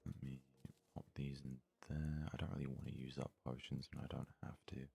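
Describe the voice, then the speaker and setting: Very deep, creaky-voiced man murmuring softly. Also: level tone. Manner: unhurried, murmuring, thinking aloud. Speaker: let's play host. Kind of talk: soft-spoken ASMR gaming commentary.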